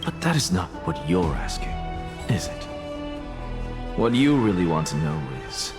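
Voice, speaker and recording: An actor playing a proud, scheming professor who lectures in a calm, haughty way. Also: male voice, sits low, a little slow. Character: proud, scheming male scholar professor, middle-aged. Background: game background music.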